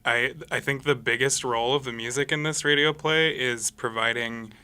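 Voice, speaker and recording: Very bright, forceful man speaking haltingly. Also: medium pitch, steady tone, clear, resonant tone. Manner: halting, searching for words. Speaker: musician guest, saxophonist for a radio play. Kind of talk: casual interview chat. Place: radio studio.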